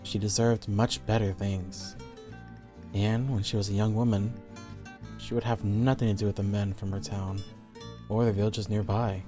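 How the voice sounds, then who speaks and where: A man's voice: lowish voice, flat delivery, moderate pace, over music. A man reading scripted horror stories as the narrator.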